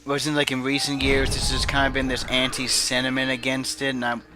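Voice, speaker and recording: A young man commentating a video game in casual chat, rambling with many fillers and restarts, who says his voice is naturally low. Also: monotone, brisk. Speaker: let's play commentator.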